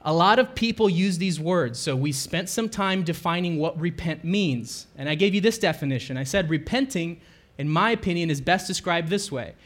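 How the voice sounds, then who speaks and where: A man preaching to his congregation in a relaxed, conversational way, often correcting himself and checking in with listeners, on a microphone through a church sound system. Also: on the high side, normal speed. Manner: conversational, self-correcting, asks the congregation questions. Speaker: pastor. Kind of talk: sermon. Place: church sanctuary.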